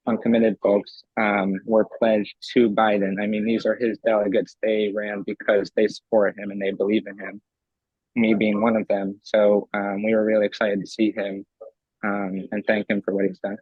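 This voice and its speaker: A young man from Eastern Pennsylvania, a convention delegate whose voice is raspy from screaming, answering eagerly with many ums in a remote interview. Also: lowish voice, no room sound, narrow, tinny audio. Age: young adult. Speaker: convention delegate and law student.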